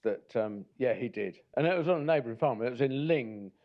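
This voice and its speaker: A man's voice: mid-range voice, expressive, brisk, dull audio. A man from Norfolk in England co-hosting a casual podcast, talking in a hesitant, stop-start way. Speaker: podcast co-host. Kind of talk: casual chat.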